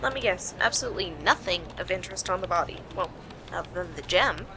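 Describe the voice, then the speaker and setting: A woman playing a sarcastic, bossy detective in an amateur radio play, with a wry, brisk delivery. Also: fairly low pitch, lively intonation. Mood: sardonic. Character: sharp, sarcastic female detective leading a murder case. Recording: noisy amateur home recording.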